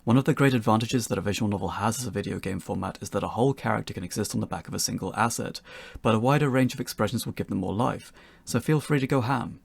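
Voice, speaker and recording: A man presents a scripted tutorial in a fluent, explanatory way. His voice is on the low side and husky, with plenty of fry, and it sounds close and dry. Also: fast, no echo. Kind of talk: scripted narration for an instructional video.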